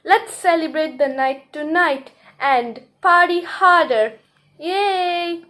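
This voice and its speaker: A woman's voice: high-pitched, slow pace, sharp tone, heavily acted. A woman playing a jubilant, exclamatory royal host of a wedding party in an amateur puppet show. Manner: exclamatory, festive.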